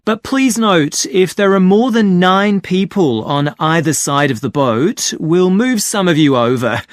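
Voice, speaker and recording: A man playing a cheerful boat tour guide, giving a scripted talk to passengers in a clear, friendly delivery. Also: sits high, lively intonation, a little slow, no room sound. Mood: cheerful, welcoming. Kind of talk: scripted exam listening talk.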